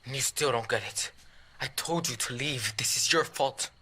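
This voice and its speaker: An actor playing a dictator's sullen teenage son in a video game, terse and reluctant. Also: male voice, medium pitch, moderate pace, sharp tone, husky. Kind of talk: cinematic video game acting.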